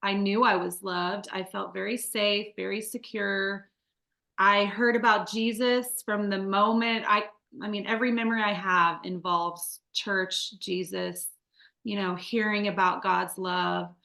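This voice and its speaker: Bright-voiced woman telling her story. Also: medium pitch, steady tone, unhurried, vocal fry, reverberant. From Midwest United States. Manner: candid, chatty, many fillers and restarts. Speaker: guest. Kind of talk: conversational testimony.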